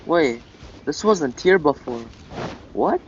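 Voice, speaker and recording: A man's voice: on the high side, expressive, takes it slowly, slight background noise. A man giving casual, off-the-cuff reactions while playing a video game. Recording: dull audio with game music behind.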